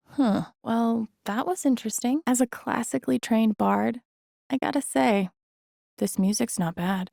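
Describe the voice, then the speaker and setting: A woman's voice: medium pitch, creaky, a little mellow, no room sound, clean studio sound. An actor playing an empathetic, introspective young woman in a video game, musing to herself in an understated, reflective way. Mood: wry, amused. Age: young adult. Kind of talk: naturalistic video game voice acting, inner monologue.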